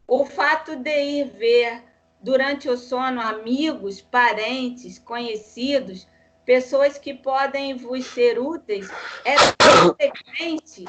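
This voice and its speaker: A woman reads a passage aloud at a slow, deliberate pace, then adds her own comments. Her voice sits high. The sound is dull and lo-fi, as on a video call. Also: background noise. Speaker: study group participant.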